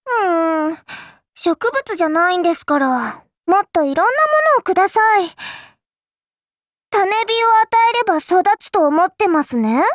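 A woman's voice: very high voice, lively intonation, measured pace, dry recording, studio quality. A woman voicing a cute, mischievous young girl in an anime mobile game, perky and teasing, with polite, playfully smug delivery. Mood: coy, pouty. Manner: perky, teasing, polite speech with cutesy exclamations.

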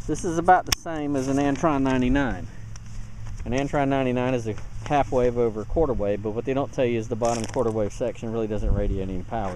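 Male hobbyist demonstrator, average pitch, casual how-to delivery, straightforward and explanatory, outdoor handheld recording with some ambient noise. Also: darkish tone, rough recording. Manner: plain-spoken, explanatory. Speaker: DIY radio hobbyist demonstrator. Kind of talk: casual hands-on tutorial narration. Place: outdoors in a yard. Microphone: handheld camera mic. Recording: outdoor handheld camera, clear background noise.

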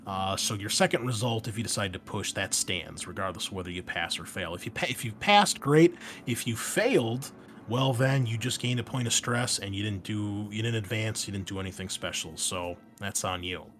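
A man's voice: lowish voice, normal speed, fairly bright tone, faint music behind. A man casually explaining tabletop game rules as a host, talking informally and directly to the listener. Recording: noticeable background noise.

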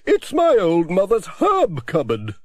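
Brief: man playing a brisk, book-mad village lady, high chirpy lively voice, dry audiobook sound. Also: no echo, heavily acted. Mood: cheerful. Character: brisk bookish older village woman. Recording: dry close audiobook recording.

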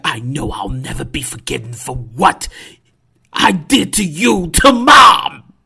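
A man playing an anguished, guilt-ridden grown son in an intense dramatic monologue, his delivery breathy, halting and raw. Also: fairly high pitch, very animated, sharp tone, voice pushed up, full character voice. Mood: anguished outburst. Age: middle-aged. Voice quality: breathy, breaking into whispers. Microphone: close studio condenser mic.

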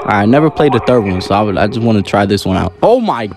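A man's voice: lowish voice, lively intonation, fast talker, loud music behind the voice. A young man giving casual, joking, exclamatory commentary on a horror game in slangy speech. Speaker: gamer making videos.

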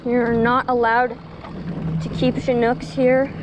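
A man's voice: very high-pitched, completely flat delivery, slow pace. A teenage boy filming himself fishing from a small boat, chatting casually to his viewers and explaining his gear. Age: teens. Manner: chatty, keeps addressing viewers as guys. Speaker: fishing vlogger. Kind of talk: casual on-camera fishing vlog. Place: small open boat on foggy open water. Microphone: action camera on the boat. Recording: loud engine and water noise outdoors. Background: loud boat engine and water.

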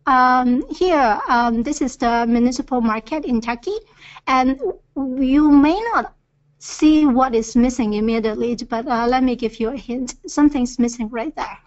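A woman's voice: fairly high pitch, moderate pace. A woman presenting engineering field observations on a webinar, with frequent hesitant fillers. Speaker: earthquake reconnaissance presenter. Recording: dull lo-fi webinar audio.

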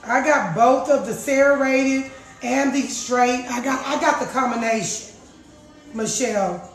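A middle-aged woman with a raspy voice chatting casually to her viewers about juicing, recorded in her home kitchen on a phone. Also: high voice, unhurried, faint music behind. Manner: chatty, repeats herself, addresses viewers as guys. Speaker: juice vlogger. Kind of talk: casual live chat. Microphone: phone camera across the counter. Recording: roomy kitchen echo.

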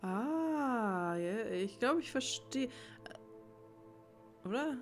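A woman's voice: on the high side, expressive, drawn-out words. Woman thinking aloud over game music. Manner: puzzled, mutters to herself while working things out. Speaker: let's player, channel host. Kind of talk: casual let's play commentary, thinking aloud.

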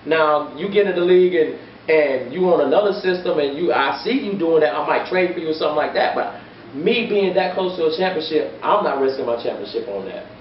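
A man's voice: a little high, brisk. Emphatic man debating in an echoey barbershop. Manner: emphatic, repeats phrases, argues point by point. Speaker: barbershop sports panelist. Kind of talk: casual sports debate. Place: barbershop, indoors. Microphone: far camera. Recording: echoey room, distant lo-fi mic, faint hiss.

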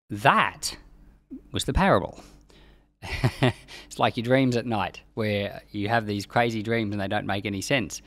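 Animated middle-aged man teaching the Bible. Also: lowish voice, no echo. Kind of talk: explanatory Bible teaching, reading scripture aloud. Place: small indoor study with bookshelves. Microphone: close desk microphone on boom arm.

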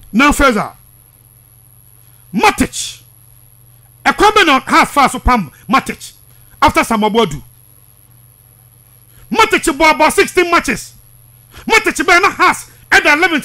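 A Ghanaian man hosting a sports radio show in a strikingly high, sharp voice. His delivery swings up and down in an animated way as he hammers points home, repeating them insistently. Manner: emphatic, insistent, repetitive. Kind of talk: heated sports commentary, switching between languages. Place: small radio studio. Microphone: studio microphone close up.

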